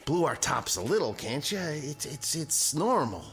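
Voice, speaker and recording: Flustered neighbor, radio drama. Stammering, apologetic, highly animated intonation. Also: male voice, a little slow, crowd noise. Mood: sheepish pleading. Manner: stammering, pleading. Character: sheepish, flustered suburban neighbor, eager to be forgiven.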